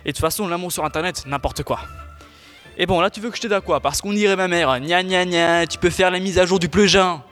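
A man's voice: sits high, brisk, a bit bright, faint music behind. A young man in his teens playing an exasperated, sardonic squire in a student audio drama, grumbling in a slangy way. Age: teenager.

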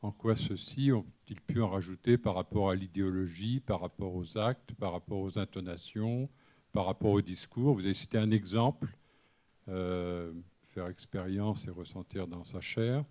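A man's voice: sits low, normal speed, warm tone. A man from the audience putting a question, searching for words and piling up phrases before asking it.

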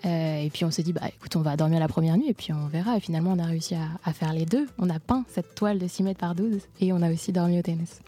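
A woman's voice: lowish voice, fast talker, hardly any pauses, dark tone. A young woman recounting events in a spontaneous, informal, explanatory documentary testimony. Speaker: theatre school student in an occupation.

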